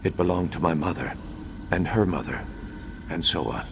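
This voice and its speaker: Cursed scholarly war mage, very low pitch, solemn, measured, video game drama, cavernous echo. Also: male voice, vocal fry. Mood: grave. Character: remorseful undead imperial battle mage, grave, cursed old scholar. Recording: long hollow reverb, faint background noise.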